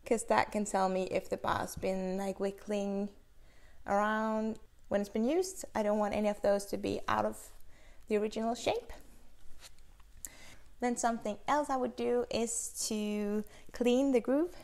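A woman's voice: mid-range voice, fairly even intonation, a little slow, vocal fry, a little mellow. A young woman casually demonstrating chainsaw bar maintenance in a small indoor workshop, explaining practically as she handles the tools. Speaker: chainsaw instructor.

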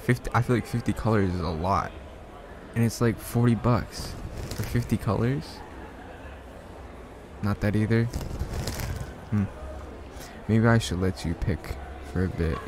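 A man acting a shy, smitten young man in an ASMR roleplay, speaking softly and hesitantly with bashful stammers. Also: on the low side, measured pace, long pauses, noisy background, lightly acted. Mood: eager, helpful. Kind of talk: ASMR roleplay, soft one-sided conversational acting.

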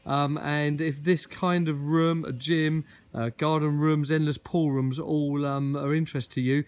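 Guy touring a garden build on his phone, bit higher voice, chatty and keen, lots of ums. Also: flat delivery, a little slow, darkish tone, highs cut off. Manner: chatty, enthusiastic, many ums and uhs. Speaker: garden building company representative. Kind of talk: walkaround video tour. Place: outdoors in a large garden and inside a garden gym. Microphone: phone camera held while walking.

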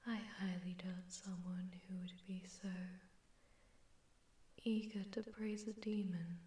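A woman voicing a haughty, seductive sleep paralysis demon in a breathy, teasing whisper with an echoing distortion effect, for an ASMR audio roleplay. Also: mid-range voice, level tone, dark tone. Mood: flirtatious, intrigued. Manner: teasing, slow, flirtatious, condescending.

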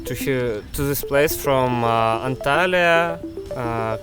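A Russian man cycling tourist speaking halting English with many pauses. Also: mid-range voice. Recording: loud background music.

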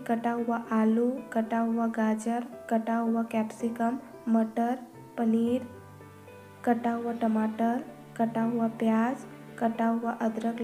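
A woman calmly narrating a home cooking recipe step by step, listing each ingredient in a methodical way. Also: on the high side. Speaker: cooking channel host. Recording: echoey room voice-over with faint music.

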